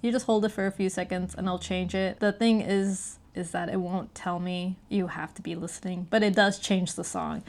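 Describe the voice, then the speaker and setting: A woman's voice: fairly low pitch, steady tone, moderate pace, few pauses, a little mellow. A woman giving a casual, conversational hands-on gadget review, explaining things step by step. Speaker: product reviewer.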